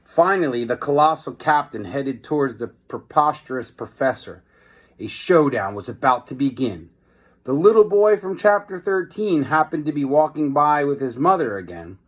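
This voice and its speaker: A man hosting a children's read-aloud, moving between expressive storybook reading and informal, hesitant asides. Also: fairly high pitch, normal speed.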